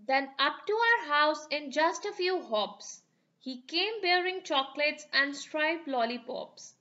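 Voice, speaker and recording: High bright lady reading a kids' picture book, sing-songy rhymes, kinda dull lo-fi sound. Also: lively intonation, slow pace. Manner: sing-song rhyming storytelling. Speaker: children's book reader. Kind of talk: storybook read-aloud.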